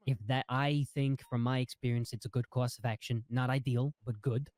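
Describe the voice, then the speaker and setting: A man in a roleplay meeting, playing an ex-prosecutor who lays out legal advice in a measured, lecturing way with frequent restarts. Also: mid-range voice, fairly even intonation, darkish tone, dry recording. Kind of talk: in-character roleplay meeting talk.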